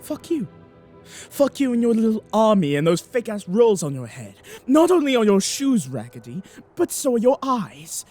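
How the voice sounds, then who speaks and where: A snooty young noble for a parody dub, vain and touchy, really theatrical high voice that jumps all over. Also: male voice, very animated, normal speed, fairly bright tone, breathy edge, soft background music, heavily acted. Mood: furious. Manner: haughty, theatrical, formal old-fashioned diction. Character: pompous, vain, easily offended young nobleman, eighteen. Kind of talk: comedic fan-dub voice acting.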